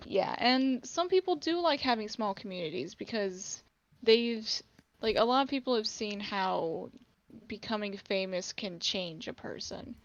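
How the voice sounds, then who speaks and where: A woman co-hosting a casual podcast, hoarse from a sore throat, talking haltingly. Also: medium pitch, expressive, dry recording, highs cut off. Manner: halting, lots of likes. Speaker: podcast co-host. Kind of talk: casual chat.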